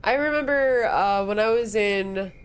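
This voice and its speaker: A woman's voice: mid-range voice, measured pace, clear, resonant tone. A woman co-hosting a game stream in casual chat, talking through a stuffy nose, rambling and laughing easily. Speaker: streamer co-host. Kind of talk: casual let's-play chat.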